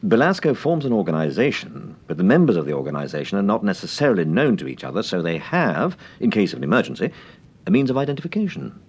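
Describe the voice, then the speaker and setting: An actor playing a composed, shrewd gentleman detective in a British radio serial, with clipped, urbane Received Pronunciation. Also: lowish voice, wildly swooping pitch, fast talker, husky, exaggerated voice. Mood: calmly assured.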